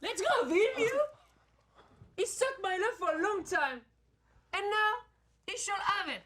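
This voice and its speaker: A woman's voice: very high voice, lively intonation, measured pace, fairly bright tone, heavily acted. A woman playing a fickle, gushing female player in a traveling troupe, with melodramatic stage delivery. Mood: coaxing. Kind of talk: theatrical stage play acting.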